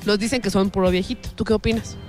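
A Mexican woman hosts a casual street-style promotion, chatting with fans. She is playful and teasing, with a mid-pitched voice. Faint music plays behind her. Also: steady tone, fast talker, darkish tone. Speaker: radio promotion host interviewing football fans. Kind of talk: casual on-location interview.

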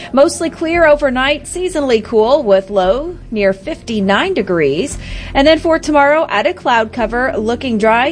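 Upbeat woman meteorologist. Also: fairly high pitch. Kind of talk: weather forecast and casual banter.

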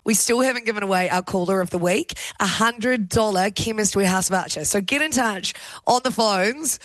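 A New woman in her thirties hosting afternoon radio, chatty and laughing as she talks, her voice hoarse. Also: mid-range voice, moderate pace, no room sound. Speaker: radio host. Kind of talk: lively radio banter. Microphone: studio microphone.

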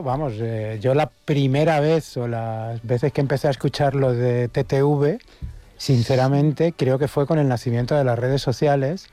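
A middle-aged man from Madrid with a warm, mellow voice, speaking as a guest. He talks in a thoughtful, halting way, full of fillers. His voice sounds close and dry. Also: mid-range voice, dry recording. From Madrid, Spain. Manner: reflective, hesitant, with many fillers. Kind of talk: casual radio chat.

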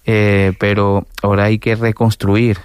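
Low, smooth-voiced Venezuelan man, evenly pitched. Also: little pitch movement, unhurried, no echo. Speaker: studio guest. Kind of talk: earnest spoken interview testimony.

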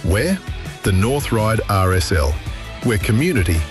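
Male voice-over, lowish, smooth club ad read, clear guitar music underneath. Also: sing-song, a little slow. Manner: smooth promotional delivery. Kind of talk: scripted radio ad read. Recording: ad with music bed.

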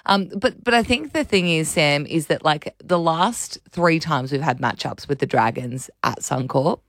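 A hoarse young Australian woman chats on breakfast radio, rambling and laughing through the talk. Her raspy voice sits close and dry in the studio. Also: deep voice, animated, unhurried, no room sound. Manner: chatty, rambling, laughs often. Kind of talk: casual breakfast radio banter.

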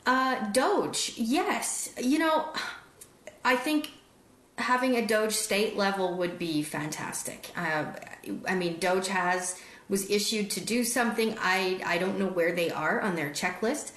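A woman's voice: medium pitch, fairly bright tone. A woman from Canada talking casually, rambling with many fillers and restarts. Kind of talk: casual conversation. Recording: roomy echo.

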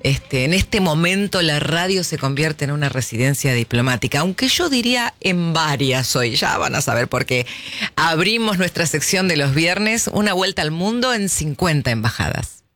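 A man hosting a radio show, lively and playful as he introduces a guest. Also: fairly high pitch, moderate pace. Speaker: radio host. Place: radio studio.

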